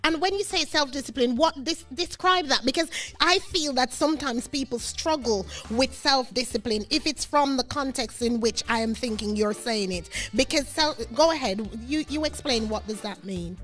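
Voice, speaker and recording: Woman, radio host, fairly high pitch, emphatic, repetitive phrasing, conversational faith talk, faint music underneath. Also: moderate pace, few pauses, a bit bright.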